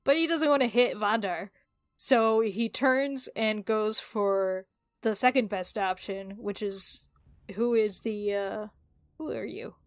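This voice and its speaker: A woman's voice: medium pitch, takes it slowly, no echo, studio quality. A woman playing a tabletop roleplaying game, casually describing what her character does.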